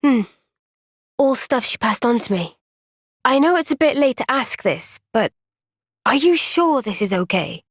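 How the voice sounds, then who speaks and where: An actress voicing a gentle, caring young female soldier in a game cutscene, speaking softly and earnestly. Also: high-pitched, lively intonation, measured pace, long pauses, no echo, studio quality. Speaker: game character. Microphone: close studio mic.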